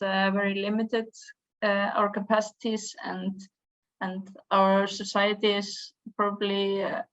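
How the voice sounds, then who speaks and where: A woman's voice: medium pitch, fairly bright tone. A young woman in her twenties with an Icelandic accent, chairing a youth environmental association and giving an online conference presentation, hesitant, with many ums and restarts. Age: 20s. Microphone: video call. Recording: dull lo-fi video call audio.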